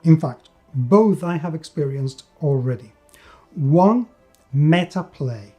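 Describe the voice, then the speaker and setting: A man's voice: fairly high pitch, animated, slow pace, warm tone, soft background music. A man giving an opinionated review as a show host, speaking emphatically and repeating words to stress his points. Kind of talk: opinionated review talk to camera.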